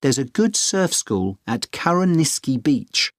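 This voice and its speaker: A man's voice: medium pitch, very animated, a little slow, dry recording, clean studio sound. A man acting a friendly, experienced middle-aged surfer dad giving informative, encouraging advice in a scripted exam dialogue. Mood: helpful, reminiscing.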